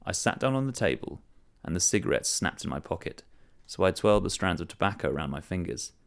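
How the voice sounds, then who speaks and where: A man reading a short story aloud, telling it in a measured, wry first-person voice. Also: low voice, big pitch swings, creaky. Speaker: story reader. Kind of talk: scripted narration of a short story.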